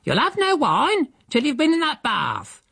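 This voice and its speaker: A man voicing a sharp-tongued, bossy housekeeper who snaps out orders in a high voice. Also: huge pitch swings, unhurried, vocal fry, no echo, studio quality, heavily acted. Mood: scolding. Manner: tart, ordering.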